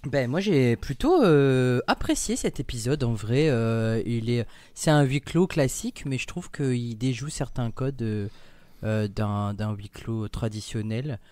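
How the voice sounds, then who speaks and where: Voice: mid-range voice, animated, a little slow, darkish tone, dry recording. Casual podcast panelist with hesitant delivery. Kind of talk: casual review.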